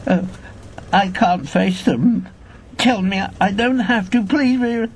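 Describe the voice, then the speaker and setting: A weary old man of about eighty, a former agent in a radio drama. He is hesitant and evasive, with a gravelly creak and slow, halting speech over a faint vehicle rumble. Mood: pleading, anxious.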